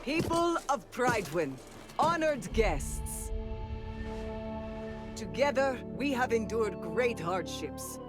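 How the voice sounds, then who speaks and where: Stately game herald: declamatory, solemn, lively pitch swings, over loud swelling music. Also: female voice, slow pace, long pauses. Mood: solemn, proud. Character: stately royal announcer proclaiming a new queen. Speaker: video game character. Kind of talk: ceremonial proclamation.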